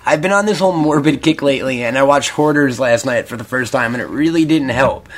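A man's voice: mid-range voice, brisk. A man in his mid-twenties chatting casually as a podcast co-host, rambling and swearing freely. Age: 20s. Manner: profane, rambling, jokey. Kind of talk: casual chat.

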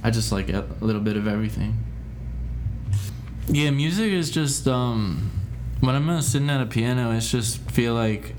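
A man's voice: medium pitch, moderate pace, a little mellow, slight background noise. A young man from Spain, a guest musician, giving rambling, hesitant answers full of "like" and "um". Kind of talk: casual interview answers.

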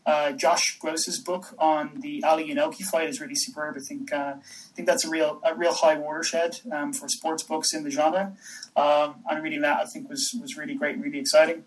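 A man from Dublin with an Irish accent, talking as an interview guest in a tentative way with frequent pauses. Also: on the high side, level tone, low-quality recording. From Dublin, Ireland. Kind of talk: conversational podcast interview.

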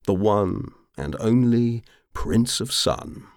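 A man's voice: on the low side, expressive, slow, gravelly, darkish tone, dry recording, studio quality, voice pushed up, heavily acted. A man voicing a tipsy, roguish mercenary commander in an audiobook, drawling and rambling with boastful charm. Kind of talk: audiobook character acting.

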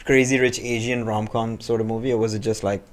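A man's voice: on the low side, little pitch movement, a little fast. A man hosting a comedy chat show, laid-back and teasing his guests while talking outdoors. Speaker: host, comedian. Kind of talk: casual chat.

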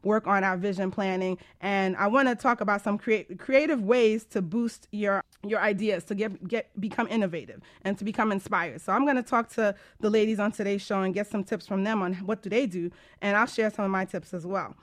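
A woman's voice: medium pitch, a little fast, hardly any pauses. A woman hosting a casual talk radio show as a coach, chatty, informal and emphatic.

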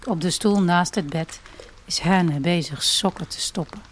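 A woman's voice: lowish voice, measured pace, a little hiss or hum. A woman narrating an audio drama in the first person, reflective and intimate in her storytelling. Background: music.

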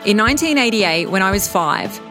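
A woman in her thirties, raised in Serbia, calmly telling her own story in a reflective, wry tone. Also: medium pitch, fairly quick. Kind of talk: scripted personal narration. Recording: music bed underneath.

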